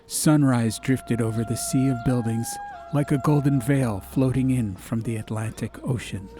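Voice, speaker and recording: A man's voice: medium pitch, normal speed, music in the background. A man reading an atmospheric story as an audiobook narrator, in a scripted literary style.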